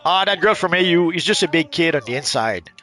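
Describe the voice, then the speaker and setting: A man's voice: on the high side, animated, fairly quick, bright, forceful tone. Rambling middle-aged man with a Quebec accent. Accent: Quebec French mixed. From Quebec, Canada. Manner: rambling, hesitant, many fillers and restarts. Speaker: gaming channel host. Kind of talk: casual commentary while playing.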